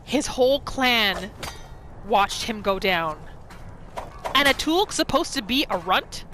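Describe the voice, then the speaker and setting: A woman narrating a role-play gaming video, musing aloud about her character in an expressive, questioning way. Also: on the high side, slow, background noise.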